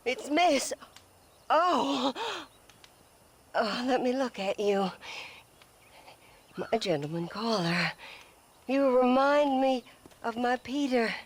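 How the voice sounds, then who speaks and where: An actress playing a deluded, genteel, fragile bride in a western video game. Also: female voice, fairly high pitch, expressive, slow, pauses often, heavily acted. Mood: wistful, dreamy.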